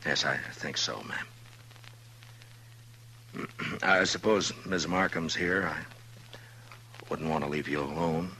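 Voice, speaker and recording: A man's voice: steady tone, sharp tone, heavily acted, low-quality recording. A man playing a grave, stoic Old West marshal in a radio western, speaking tersely and with restraint. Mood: grave, gentle. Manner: terse, low-key, halting when breaking bad news. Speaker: radio drama actor. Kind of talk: 1950s radio western acting. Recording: old radio recording with faint noise, hum.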